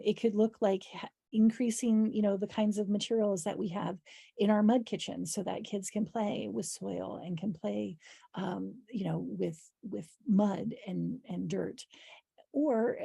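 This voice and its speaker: A woman with a hoarse, husky voice giving an informal instructional presentation as an educator, explaining in a conversational way with practical examples. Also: mid-range voice, mellow, dry recording, studio quality. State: hoarse voice, clearing her throat. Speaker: early childhood educator leading a training.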